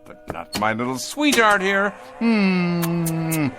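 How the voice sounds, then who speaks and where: An actor in a radio drama playing a smug, sardonic factory boss zealous about machines, with grand mockery. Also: male voice, high-pitched, very animated, takes it slowly, exaggerated voice. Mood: smug, gloating. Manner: sardonic, grandly mocking, repeating words. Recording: radio drama with music underneath.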